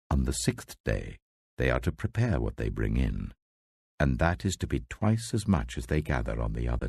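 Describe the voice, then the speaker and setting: British man as almighty God, very low voice, husky, grave, commanding, slow scripture delivery. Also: darkish tone, dry recording, full character voice. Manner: slow, weighty, authoritative.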